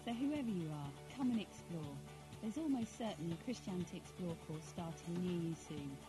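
A woman's voice: fairly low pitch, expressive, normal speed, low-quality recording. A woman with a British accent reading scripted promotional narration for a video. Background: music bed.

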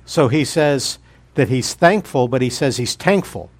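A man's voice: mid-range voice, expressive, a little slow. A man, a guest preacher opening his sermon in a jokey, folksy manner.

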